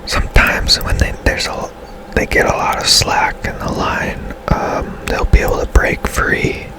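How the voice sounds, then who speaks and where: A man's voice: unhurried, low-quality recording. A man whispering casual, rambling ASMR commentary over his own gameplay, musing as he goes. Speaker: gaming streamer narrating own gameplay.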